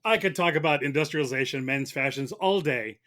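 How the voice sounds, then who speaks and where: A man's voice: fairly high pitch, brisk, bright, forceful tone. A man playing a prosecutor in a comic mock trial, making his case with wry, mock-serious lawyerly rhetoric. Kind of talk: semi-scripted comic courtroom argument.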